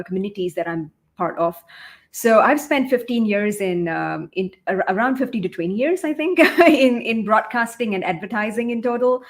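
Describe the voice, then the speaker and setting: A woman from Singapore introducing herself as a guild leader, fluent but with frequent uh fillers. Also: mid-range voice. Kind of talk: self-introduction on a panel.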